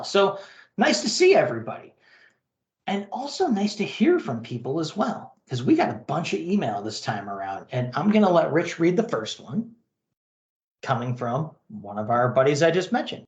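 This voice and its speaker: Male podcast host, slightly high and very lively intonation, casual banter and mail reading, roomy sound. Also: measured pace. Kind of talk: casual chat and reading listener email aloud.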